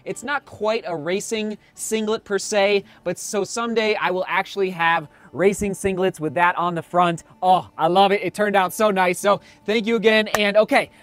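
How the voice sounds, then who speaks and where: A man's voice: high voice, steady tone, normal speed, few pauses, fairly bright tone. A man in his thirties, a running vlogger, talking casually and upbeat to a camera held at arm's length. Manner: upbeat, chatty, thinking aloud. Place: mountain summit, car, shop, indoor room. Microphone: action camera at arm's length.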